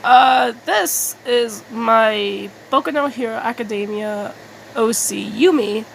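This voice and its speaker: A woman rambling casually in a halting, self-deprecating, mildly sweary way, as an artist making a video. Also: fairly high pitch, creaky, slight background noise, poor audio.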